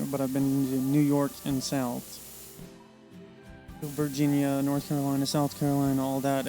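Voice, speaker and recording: An American man from the Missouri, Oklahoma and Arkansas region talking casually and unscripted about himself, rambling haltingly in short fragments. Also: fairly high pitch, warm tone, rough recording. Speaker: presenter introducing himself.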